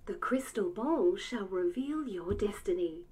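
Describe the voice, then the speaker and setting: A synthetic woman's voice with an Australian accent, playing a soft-spoken crystal-ball fortune teller in a hushed whisper. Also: medium pitch, animated, a little slow, husky. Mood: hushed mystical.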